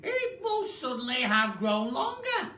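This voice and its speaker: Nasty old storybook wife: screechy falsetto, swooping and theatrical, roomy sound. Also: male voice, huge pitch swings, a little slow, pushed and bright, full character voice. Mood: puzzled. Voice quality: shrill, screechy put-on falsetto. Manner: exclaiming, theatrical. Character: nasty, cackling old wife, gullible and indignant. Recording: roomy, distant, noisy home-style recording.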